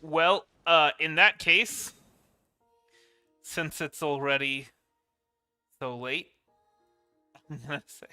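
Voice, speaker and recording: Male streamer, very bright tone, very clear voice, casual, rambling, repetitive phrasing, close mic, faint music. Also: fairly high pitch, slow pace, pauses often, no echo. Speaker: video game live streamer. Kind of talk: casual stream commentary and reading game text aloud.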